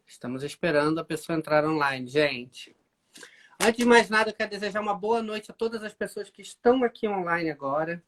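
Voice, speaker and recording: Brazilian man, portuguese accent, live stream host, chatty, animated, talks straight to his viewers. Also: high-pitched, brisk, a little hiss or hum. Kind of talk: casual live stream chat. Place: home in the UK.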